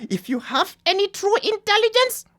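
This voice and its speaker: A woman acting a frightened, hostile female doctor in a radio drama, halting and dramatic. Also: very high-pitched, sing-song, sharp tone, exaggerated voice.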